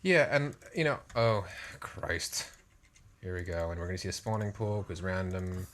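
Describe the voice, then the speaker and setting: A man's voice: low voice, normal speed, a bit bright. Chatty man commentating his own game. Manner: chatty, rambling, self-deprecating. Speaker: co-host playing the match. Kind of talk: casual gameplay commentary.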